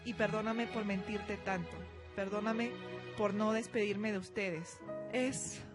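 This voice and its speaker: A woman's voice: mid-range voice, fairly even intonation, measured pace, music in the background, natural voice. A woman acting a resolute, tender noblewoman in a student radio drama, earnest and dramatic. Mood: sorrowful. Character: resolute, tender noblewoman and mother facing danger.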